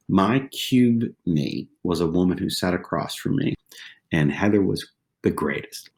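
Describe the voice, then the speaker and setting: A man in his forties from southwestern Pennsylvania, a podcast guest telling stories in a casual, conversational way, often repeating phrases and tagging lines with "right?". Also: low-pitched. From southwestern Pennsylvania, USA. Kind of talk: casual interview storytelling.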